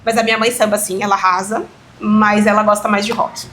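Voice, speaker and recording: A woman's voice: medium pitch, fairly quick, a little hiss or hum. A woman, a dance teacher chatting as an interview guest, telling family stories with laughter and emotion. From São Paulo, Brazil. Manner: lively, laughing storyteller, emotional about family. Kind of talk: casual interview conversation.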